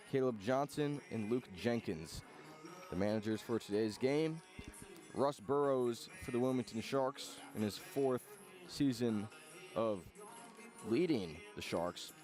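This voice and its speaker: A male play-by-play announcer calls a baseball game for live radio at a medium pitch, with music clearly audible behind him. His delivery is that of broadcast commentary. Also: a little mellow.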